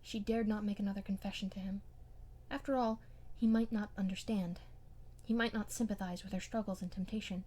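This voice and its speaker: A woman reading a classic novel aloud as an amateur audiobook narrator, with expressive scripted delivery. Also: mid-range voice, a little fast.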